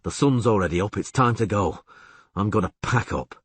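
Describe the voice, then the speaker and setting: A man voicing a gruff, curt male monster hunter who scolds bluntly and dryly in an audiobook. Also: lowish voice, moderate pace, no echo. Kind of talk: audiobook character voice.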